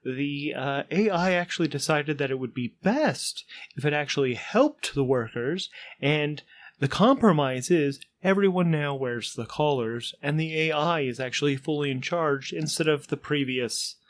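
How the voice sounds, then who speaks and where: A man's voice: on the high side, animated, moderate pace, slight background noise, natural voice. Guy doing a polite nervous sci-fi passenger, super wordy and formal, keeps hedging and stumbling. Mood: awkward, explanatory. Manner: hesitant, wordy, euphemistic, formal phrasing. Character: Ulan, polite, fretful male passenger explaining awkward matters.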